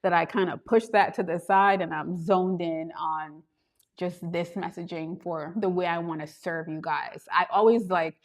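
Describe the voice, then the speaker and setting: A woman's voice: lowish voice, fairly even intonation, normal speed. Trini woman coach on a live stream, upbeat, laughs a lot, handheld cam mic. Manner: bubbly, laughs often, greets viewers. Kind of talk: casual livestream chat with viewers. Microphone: handheld gimbal camera.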